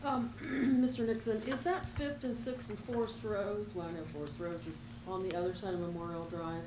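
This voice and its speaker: A woman's voice: mid-range voice, fairly even intonation, normal speed. A woman council member making polite meeting remarks and questions in a large chamber. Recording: echoey, noisy chamber, distant microphone.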